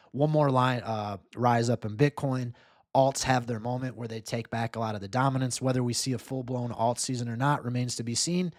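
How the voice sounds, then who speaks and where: A man's voice: mid-range voice, no echo, clean studio sound. A man hosting casual market commentary, talking informally and upbeat, straight to his viewers. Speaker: host, crypto market analyst. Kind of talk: casual chart commentary to camera or screen share.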